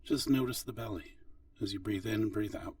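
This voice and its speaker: Low-voiced man guiding a meditation. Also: dry recording, far from the mic. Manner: gentle, unhurried instruction with long pauses. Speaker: meditation instructor. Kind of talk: guided body scan meditation.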